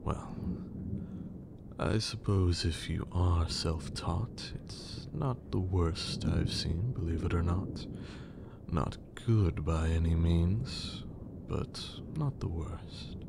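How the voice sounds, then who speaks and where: Guy doing a haughty old vampire lord for asmr, really low and slow, condescending and kinda menacing. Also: very noisy, full character voice. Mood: dry, condescending. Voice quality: breathy, creaky low voice. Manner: slow, condescending, theatrical, archaic wording. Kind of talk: whispered ASMR roleplay.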